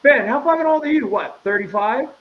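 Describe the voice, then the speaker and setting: A man's voice: very high voice, brisk. Brief: twenties male host, teasing, profane, muffled lo-fi line. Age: 20s. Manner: excitable, swearing, teasing. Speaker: podcast host. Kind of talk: casual profane chat. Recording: muffled with faint hiss.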